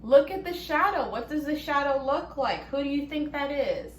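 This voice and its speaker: A woman reading a children's story aloud, expressive and deliberate, stopping to prompt young listeners with questions. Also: sits high. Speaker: storybook reader leading children. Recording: roomy echo, faint background noise.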